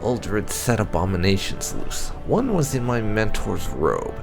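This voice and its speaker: A man reading fantasy game lore aloud in a measured, theatrical way with pauses. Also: fairly low pitch, very animated, creaky, over music. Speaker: gameplay channel host.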